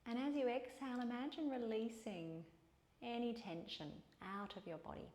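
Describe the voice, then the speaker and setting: A woman teaching yoga and guided meditation in a calm, soothing way, with unhurried pauses between phrases. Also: a little high. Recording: echoey room.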